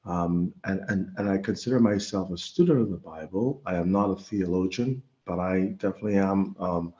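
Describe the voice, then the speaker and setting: A middle-aged man from the Bahamas giving an informal religious talk online, speaking haltingly and often repeating words as he goes. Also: low-pitched, mellow, dull audio. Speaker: guest presenter. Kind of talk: informal religious lecture over an online meeting.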